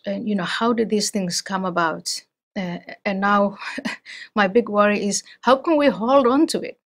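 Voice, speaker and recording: A middle-aged woman from Somalia, speaking as an interview guest in a thoughtful, measured way with frequent restarts. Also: medium pitch. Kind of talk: interview conversation.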